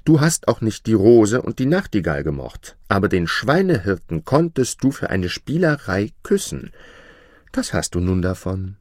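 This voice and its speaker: A proud young prince from a fairy tale, cool and contemptuous in a lowish voice. A man acts him out. Dry and close. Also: sing-song, moderate pace, darkish tone, dry recording. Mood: scornful.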